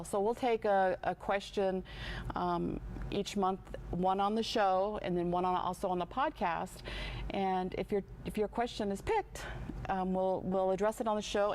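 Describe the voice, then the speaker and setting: A woman's voice: medium pitch, a little mellow, slight background noise. A woman in her sixties co-hosting a casual chat show, speaking earnestly with many fillers and restarts. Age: 60s. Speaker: show co-host.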